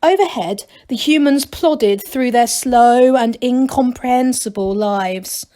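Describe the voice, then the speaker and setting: A woman reading a children's story aloud like a teacher, in expressive narration. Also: fairly high pitch, slow pace.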